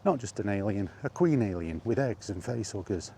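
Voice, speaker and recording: A man's voice: fairly low pitch, lively intonation, fairly quick, mellow, slight background noise. A British man giving a casual, rambling, blunt and exasperated monologue as a film vlogger.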